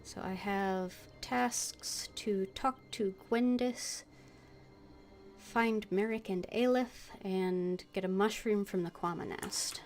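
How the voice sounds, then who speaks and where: A woman game streamer giving casual, unhurried live commentary and reading bits of dialogue aloud. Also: mid-range voice, a little mellow. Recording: music behind the voice.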